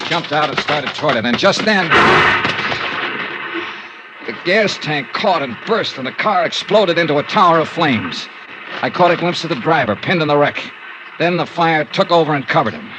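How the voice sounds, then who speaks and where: A man's voice: on the high side, lively intonation, moderate pace, lightly acted. An actor playing a cynical, world-weary male insurance investigator in a terse, sardonic 1950s radio noir style. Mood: tense narration. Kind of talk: 1950s radio noir acting and first-person narration. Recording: noisy old radio drama recording.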